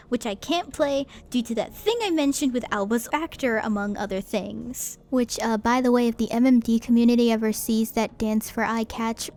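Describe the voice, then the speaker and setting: A young woman hosting a casual video essay, talking in a chatty, candid way with wry asides. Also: on the high side. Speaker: anime commentary host.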